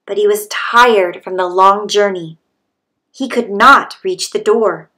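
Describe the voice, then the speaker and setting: A woman's voice: medium pitch, slow, pushed and bright. A woman reading a children's story aloud as a storybook narrator. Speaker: storybook reader.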